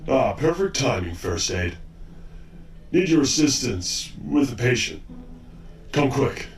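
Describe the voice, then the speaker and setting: A man playing a brisk, formal, authoritative robot senior doctor in an audio drama. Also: mid-range voice, big pitch swings, noisy background. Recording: roomy, distant from the mic.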